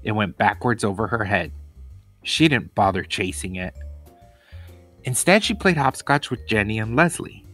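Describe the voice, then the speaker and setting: A man reading a children's story aloud in a deliberate, expressive narration with pauses. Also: fairly low pitch, soft background music.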